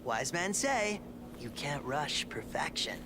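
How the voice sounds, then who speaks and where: Goofy teenage surfer-dude turtle. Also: male voice, on the high side, big pitch swings, slow pace, noisy background. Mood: playful, goofy. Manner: laid-back surfer-dude slang, playful. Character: goofy, carefree, food-obsessed teenage turtle.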